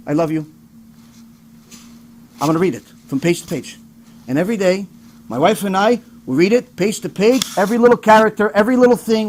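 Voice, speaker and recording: A man speaking as a rabbi, giving an informal, emphatic sermon with personal stories, acted-out dialogues and rhetorical questions, in a husky, rough-edged voice. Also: high voice, a little hiss or hum. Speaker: rabbi giving a religious lecture.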